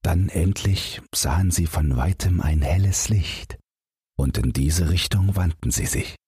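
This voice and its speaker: A man's voice: sits low, a little slow, darkish tone, husky, no room sound, clean studio sound. A man narrating a bedtime fairy tale as a scripted audiobook.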